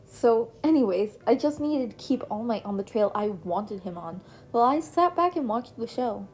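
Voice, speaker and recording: A woman voicing a self-assured young male vigilante turned hero, talking breezily, with a mellow voice. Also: on the high side, animated, normal speed, a little hiss or hum, close to an everyday voice. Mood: breezy.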